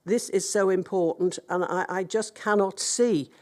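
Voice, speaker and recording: A woman's voice: mid-range voice, steady tone, a little slow, darkish tone. A woman councillor with a blocked-up nose from a cold, making an earnest, hesitant statement at a public hearing. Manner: earnest, hesitant, with many ums and restarts.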